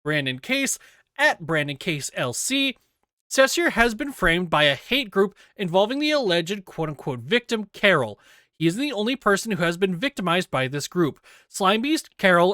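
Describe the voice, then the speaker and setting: A man reading a written document aloud in a deliberate, scripted narration style. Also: sits high, sharp tone, no echo, studio quality. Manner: deliberate, spells out quote marks aloud. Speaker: reader of an investigation document.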